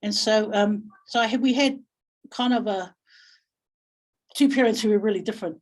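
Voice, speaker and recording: A woman giving a reflective talk as a guest speaker, telling stories and thinking aloud with halting, repeated phrases. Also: medium pitch, darkish tone, rough recording. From Samoa or Samoan community in New Zealand. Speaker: guest speaker, family violence practitioner. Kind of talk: reflective webinar talk, storytelling.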